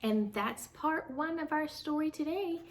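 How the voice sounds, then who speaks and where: A woman reading a picture book aloud to children, speaking slowly and expressively, talking directly to the kids. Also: sits high, slight background noise. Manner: warm, slow and expressive, addressing kids. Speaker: storytime reader.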